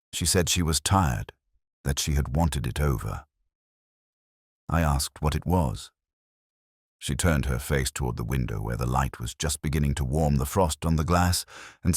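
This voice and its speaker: A man playing a weary, erudite police inspector, narrating his case in a measured, melancholy, sardonic way as a literary audio drama. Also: rumbling low, animated, dry recording, studio quality, exaggerated voice. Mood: brooding.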